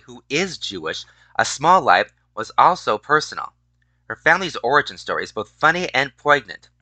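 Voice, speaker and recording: A man's voice: mid-range voice, pushed and bright, dry recording. A man reading a feature article aloud, often stumbling and restarting words. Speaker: reader of a news article.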